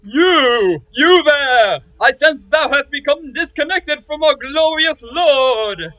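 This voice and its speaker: Shrill, booming, pompous zealot. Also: male voice, expressive, slow, bright, forceful tone, heavily acted, rough recording. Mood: fervent, accusing. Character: fervent, melodramatic campus zealot preaching in archaic English. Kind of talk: comedic audio drama acting.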